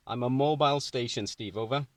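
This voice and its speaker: A man's voice: mid-range voice, fairly quick, heavy background noise. A man with a British accent chatting casually as a radio operator, repeating phrases for clarity. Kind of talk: casual amateur radio chat. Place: inside a parked or mobile car.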